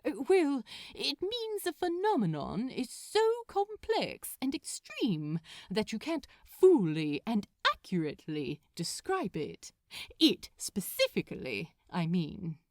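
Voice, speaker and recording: A woman plays a prim male angel in a story reading. He is polite and easily flustered, choosing words precisely, with animated pitch and an unhurried pace. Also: no room sound, clean studio sound, full character voice. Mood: earnestly explaining. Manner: hesitant, proper, precise wording.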